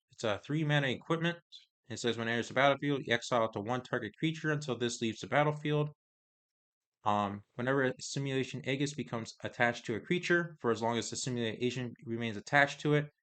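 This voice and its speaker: Guy reviewing cards, bright forward voice, kinda hoarse, halting and hesitant. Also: medium pitch. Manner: halting, hesitant, many pauses and restarts. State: voice getting hoarse. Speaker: card game reviewer. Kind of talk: casual card review, reading card text aloud.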